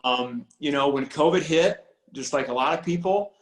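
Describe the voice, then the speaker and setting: A man in his forties, a high school football coach, talking casually on a video call, rambling with many restarts and fillers. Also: normal speed. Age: 40s. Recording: roomy echo on video call audio.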